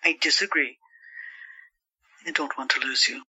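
A woman's voice: low voice, a little slow, pushed and bright, slightly rough, no echo, exaggerated voice, low-quality recording. A woman playing a protective, skeptical, worried sister in an audio drama, reasoning and pleading. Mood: firm concern.

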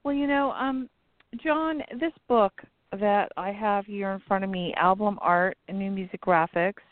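A woman hosting an internet radio show in casual chat, sounding stuffy and raspy, with many ums and uhs. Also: mid-range voice, a little slow, dry recording. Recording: tinny phone-line sound.

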